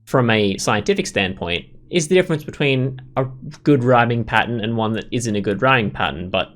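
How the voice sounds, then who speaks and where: A man's voice: mid-range voice, expressive, a little fast, a bit bright. A man giving casual let's play commentary, opinionated and rambling as he thinks aloud. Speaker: gaming video host.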